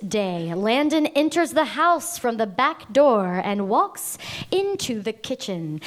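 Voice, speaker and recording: Slow, deliberate woman reading stage directions. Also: fairly high pitch. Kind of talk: table read narration.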